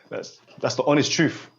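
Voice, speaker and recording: Halting man in his forties, dull lo-fi sound. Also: medium pitch, vocal fry. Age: 40s. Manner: halting and repetitive, often pausing to check the listener follows. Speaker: holistic therapist guiding a student. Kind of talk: unscripted one-on-one guidance session.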